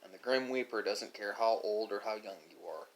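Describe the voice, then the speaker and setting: A man giving a reflective, philosophical monologue as an online host, speaking haltingly with drawn-out pauses. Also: fairly low pitch, slight background noise. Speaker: host of an ASMR mukbang channel.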